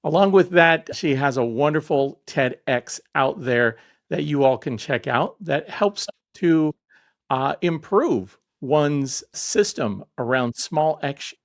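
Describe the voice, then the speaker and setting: A man's voice: mid-range voice, takes it slowly. Casual male podcast host. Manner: casual, hesitant with fillers. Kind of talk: interview conversation.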